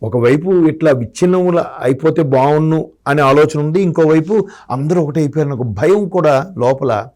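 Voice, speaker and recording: A man's voice: a little high, moderate pace, darkish tone. Man arguing politics. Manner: argumentative, asks rhetorical questions. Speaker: political commentator. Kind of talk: political discussion.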